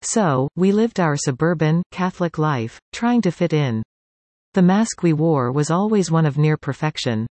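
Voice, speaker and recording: Woman in her 40s reading her own essay, crisp careful consonants, dry close sound. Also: deep voice, expressive, normal speed, darkish tone, dry recording. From Canada. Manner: careful, clearly enunciated. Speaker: personal essay reader. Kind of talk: reading a personal essay aloud.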